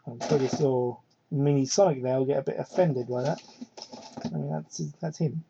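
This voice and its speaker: A man's voice: steady tone, normal speed, vocal fry, background noise. A man doing a mocking dramatic read-aloud with sarcastic, incredulous asides and theatrical emphasis, recorded on a cheap microphone. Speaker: host doing a dramatic reading of fan fiction. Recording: muffled, low-quality cheap microphone.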